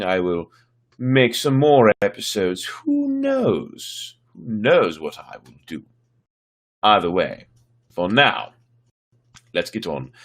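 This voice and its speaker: A man's voice: medium pitch, a bit bright. A man hosting a livestreamed story show, chatting casually to viewers in a playful, theatrical, rambling way.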